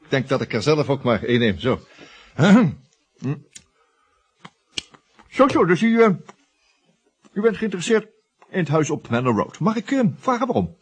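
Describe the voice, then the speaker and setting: An ambitious junior estate agent in a radio drama. His husky voice swoops up and down a great deal. He is cheeky and obsequious, bluffing his way along. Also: a little high, very animated, normal speed. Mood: officious, bluffing. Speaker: actor in a radio play. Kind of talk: Dutch radio drama acting.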